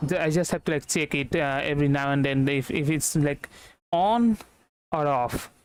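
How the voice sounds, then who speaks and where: A man with an Indian accent giving casual live game commentary, halting and repeating himself with many fillers. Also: fairly high pitch, clear, resonant tone, no echo. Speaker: game streamer. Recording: stream with game music behind. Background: video game music.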